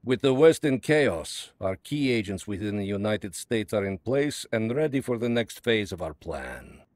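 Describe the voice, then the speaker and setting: An actor playing a calm, ruthless Soviet spymaster, speaking slowly and with cold confidence. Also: male voice, lowish voice, no echo, lightly acted. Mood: cold, commanding. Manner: slow, measured, coldly confident.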